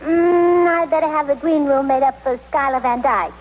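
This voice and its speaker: A high-pitched, scatterbrained housewife from an old radio comedy, played by a woman. She chatters breezily in a muddled, cheerful way. The recording is muffled and clearly noisy. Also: normal speed, natural voice. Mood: giddy, muddled. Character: scatterbrained, chattering, cheerful middle-class wife and hostess. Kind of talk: 1940s radio comedy acting.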